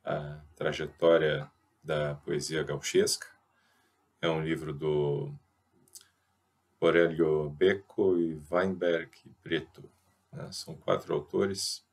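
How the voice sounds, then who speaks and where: Brazilian man in his forties, very low, flat voice, professorial talk with many pauses, headset mic. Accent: Brazilian Portuguese. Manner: thoughtful, professorial, frequent filler pauses. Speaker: host. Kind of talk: informal lecture to camera. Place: small indoor room with a chalkboard. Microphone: headset mic on a webcam video.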